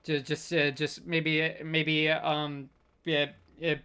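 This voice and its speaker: A man with a fairly high, smooth voice hosts an informal online panel. His intonation stays quite level, and he feels his way through sentences in a halting, hesitant manner. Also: monotone, pushed and bright. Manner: halting, hesitant, scattered delivery. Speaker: panel host.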